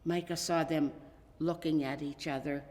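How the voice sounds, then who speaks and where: A woman reading a children's story aloud as storyteller, expressive, dramatic and deliberate. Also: deep voice. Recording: reverberant hall.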